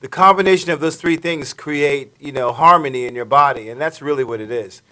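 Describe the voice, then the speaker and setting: A man's voice: a little high, fairly quick, pushed and bright. A middle-aged man co-hosting a live talk show, upbeat and chatty. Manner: upbeat, chatty, laughs easily. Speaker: co-host.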